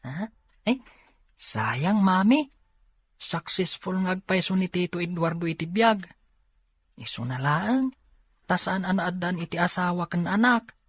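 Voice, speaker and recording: A woman's voice: lowish voice, expressive, unhurried, pauses often, husky, no echo. A woman with an Ilocano accent acting a curious youngster who questions mother, in a radio drama. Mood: curious, wistful.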